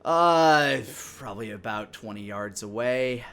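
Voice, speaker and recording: Male game master, mid-pitched with a sharp, bright edge, explaining the scene as he makes it up. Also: lively intonation, unhurried. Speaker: dungeon master and host. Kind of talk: improvised narration of a tabletop game.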